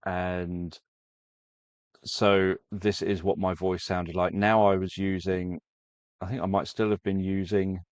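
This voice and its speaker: Low flat-voiced man chatting. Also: slow pace, no room sound. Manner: rambling, self-correcting explanation. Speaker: sleep story creator. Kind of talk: casual talk to viewers.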